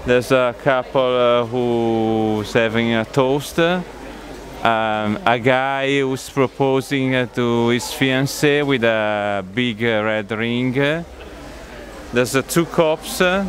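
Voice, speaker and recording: A man in his forties with an Italian accent, explaining a display casually in halting, hesitant English into a handheld microphone. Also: medium pitch. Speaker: exhibitor, hobby model builder. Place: large busy exhibition sports hall. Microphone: handheld interview microphone.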